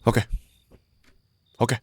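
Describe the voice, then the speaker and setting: A man acting a stern but caring mafia boss in an ASMR roleplay, speaking formally and haltingly into a close microphone. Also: darkish tone, no echo. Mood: urgent, consoling. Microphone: close studio-style microphone, dry.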